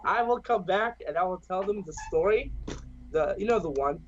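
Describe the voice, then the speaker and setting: Rowdy joking man with a lively voice. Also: high-pitched, normal speed, a little hiss or hum. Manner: crude, joking, storytelling. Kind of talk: rowdy casual chat. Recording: dull lo-fi mic.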